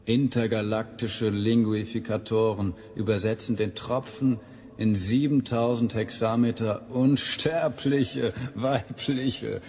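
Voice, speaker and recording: A man playing a flattering lover in a radio drama, sweet-talking in florid, grandiose poetry. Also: lowish voice, takes it slowly. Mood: poetic, seductive.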